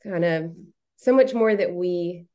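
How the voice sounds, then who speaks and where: Woman hosting a podcast, friendly and encouraging, kinda low voice. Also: takes it slowly, warm tone, dull audio. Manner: friendly, encouraging, asks open questions. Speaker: podcast host. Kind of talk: casual interview hosting.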